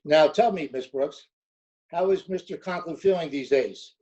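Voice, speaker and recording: A man playing a measured, skeptical official in old-time radio comedy style. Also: fairly high pitch, dark tone, natural voice. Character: measured, skeptical male official over the principal. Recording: dull remote video-call audio.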